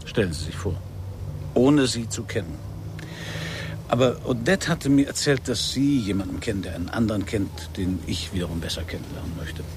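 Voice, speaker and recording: An actor playing a laconic, courteous Parisian private detective in a radio crime drama, speaking and narrating with a dry, gravelly, husky voice. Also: male voice, on the low side, expressive, normal speed, background noise, lightly acted. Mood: courteous, probing. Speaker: detective and first-person narrator.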